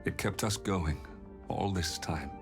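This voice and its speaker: A deep, very slow, gentle older father. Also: gravelly, music in the background, heavily acted. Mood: reassuring, tender. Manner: measured, tender. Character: gentle, solemn older father, devout and reassuring. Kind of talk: video game cutscene acting.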